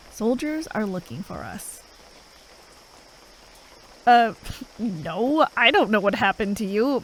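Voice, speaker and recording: Sardonic female fantasy assassin woman actor, gruff and unhurried, addressing the listener in ASMR roleplay, faint cricket ambience behind. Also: a little high, slight background noise. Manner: sarcastic, drawling, sweary, with sighs.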